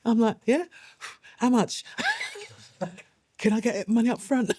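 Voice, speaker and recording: A woman's voice: on the high side, slow, warm tone, no room sound. A middle-aged woman, an actress and playwright, chatting animatedly and laughing often in an interview. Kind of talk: interview, reminiscing.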